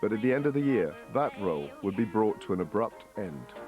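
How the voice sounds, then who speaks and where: Male narrator over clear music. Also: on the low side, sing-song, brisk, dull audio. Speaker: documentary narrator. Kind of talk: scripted narration.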